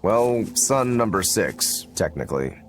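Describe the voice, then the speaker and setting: Game role: breezy young swordsman, wry, teasing, very animated intonation. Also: on the low side, a little slow, faint music behind. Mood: concerned. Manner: casual, teasing, laid-back. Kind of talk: English video game voice acting.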